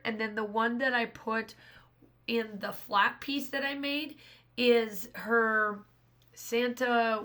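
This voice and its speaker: Hoarse woman, thirties, rambling crafting voice-over, slightly high pitch. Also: a bit bright. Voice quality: rambling, restarts often. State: hoarse, scratchy voice. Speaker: scrapbooking channel host. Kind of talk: casual voice-over narration of a craft process.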